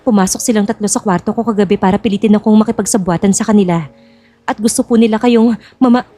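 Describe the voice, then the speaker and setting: A woman playing a young bride-to-be in an audio drama, polite and composed, with a quiet cunning. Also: mid-range voice, fast talker, faint music behind, lightly acted. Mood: earnest, grave.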